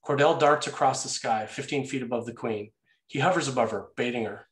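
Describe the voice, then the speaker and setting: A man with a medium-pitched voice narrates a screenplay, reading out action and scene headings. He is recorded in an echoey room. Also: brisk.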